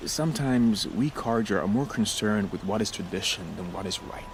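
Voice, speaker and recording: An actor playing a humble, remorseful priest in a video game, speaking in a measured, formal, earnest way. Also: male voice, fairly low pitch, expressive, noisy background. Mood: regretful. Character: solemn, grieving young priest, humble and remorseful.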